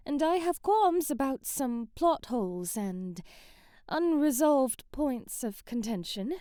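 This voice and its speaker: A woman's voice: high voice, mellow, dry recording, studio quality, full character voice. A woman playing a sheltered, romantic young princess in an ASMR audio drama, with flowery, theatrical storybook phrasing. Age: young adult. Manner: theatrical, flowery, prim storybook phrasing, sighing, often half-whispering.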